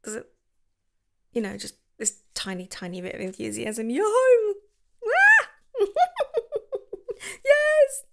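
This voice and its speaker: Woman for an ASMR girlfriend roleplay: affectionate, gushing, slips into whispers, slight vocal fry. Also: on the high side, lively intonation, slow pace, pauses often, a bit bright.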